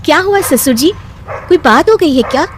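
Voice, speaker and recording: A woman's voice: high-pitched, lively intonation, moderate pace, noisy background. A woman voicing a feisty, exasperated young daughter-in-law with a pointed way of talking in a cartoon comedy story. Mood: concerned. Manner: pointed, complaining.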